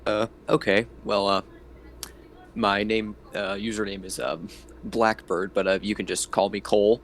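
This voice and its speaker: A man's voice: lowish voice, little pitch movement, a little slow, a little hiss or hum. A man casually introducing himself as a game player, hesitant in his phrasing.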